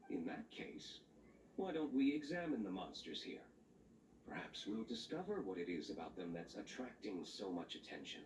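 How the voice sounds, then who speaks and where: An actor playing a composed, shrewd gentleman monster hunter in a video game, with cool, formal, probing delivery. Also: deep voice, very animated, moderate pace. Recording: roomy distant game audio with noise.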